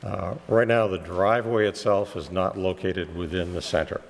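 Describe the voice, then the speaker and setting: A man with a cold, losing his voice, presenting a technical site plan to a planning board in a measured way with many "uh" fillers. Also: low-pitched, fairly even intonation, gravelly, in a large hall. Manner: measured and explanatory, with frequent "uh" fillers. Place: town meeting room.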